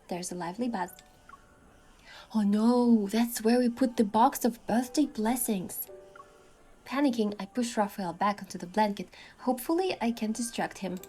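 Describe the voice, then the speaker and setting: A woman reading scripted first-person story narration in an expressive way, acting out the lines as a heroine. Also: mid-range voice. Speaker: narrator voicing a game's heroine.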